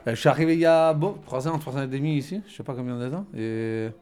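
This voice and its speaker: A man from Rome, a native Italian speaker with a Roman accent, telling stories in a loose, animated way as an interview guest. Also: medium pitch, fast, smooth. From Rome, Italy. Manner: animated storytelling, loose grammar, restarts. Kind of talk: casual interview talk.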